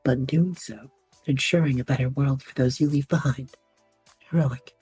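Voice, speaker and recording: A woman voicing a cocky, theatrical young male magic student with a husky voice in an audio drama. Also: rumbling low, fairly even intonation, normal speed, warm tone, soft background music, heavily acted. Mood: grandly dramatic. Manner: theatrical, self-important, easily wounded. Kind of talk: audio drama character banter.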